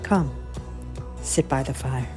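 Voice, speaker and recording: A woman with a low voice delivers a scripted storybook introduction at a slow, measured pace. Her manner is inviting, and music plays clearly behind her. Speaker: host reading a show introduction.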